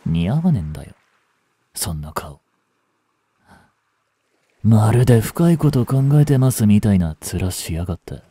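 A man playing a young delinquent in a voice drama, listless and blunt, with rough slangy talk and a caring streak. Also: lowish voice, big pitch swings, measured pace, long pauses, mellow, dry recording, studio quality, heavily acted. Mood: teasing, blunt. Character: gruff, languid young male delinquent, secretly caring. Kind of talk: Japanese voice drama for female listeners.

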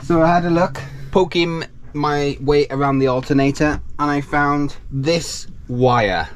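A man in his thirties, a sailing vlogger, talking to a handheld camera in a small boat cabin and calmly explaining an electrical repair step by step. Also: on the high side, a little slow. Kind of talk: casual vlog narration to camera. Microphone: action camera held close. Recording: faint background noise.

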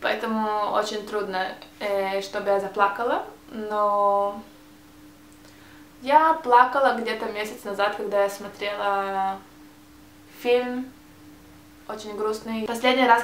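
A woman's voice: mid-range voice, roomy sound, slight background noise. A young woman with a Hebrew accent, speaking Russian as a second language in a casual tag video, often hesitating and restarting words. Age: young adult. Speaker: vlogger. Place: small bright room, indoors. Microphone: camera mic, seated facing camera.

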